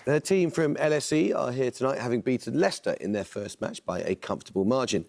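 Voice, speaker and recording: A man hosting a quiz show in a TV studio, reading questions in a measured, precise way. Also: medium pitch, vocal fry, darkish tone, a faint crowd.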